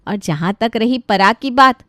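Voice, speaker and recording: A woman voicing a cheeky, chatty young bride in an animated family story, teasing and playful. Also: on the high side, moderate pace, no echo, studio quality. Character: cheeky, chatty young bride who fusses over her in-laws.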